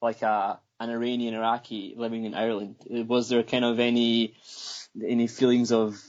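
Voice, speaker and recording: Scottish-born male interviewer, mid pitch, stumbling casual questions, muffled audio. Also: level tone, moderate pace, darkish tone. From Scotland. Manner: stumbling, repeats words.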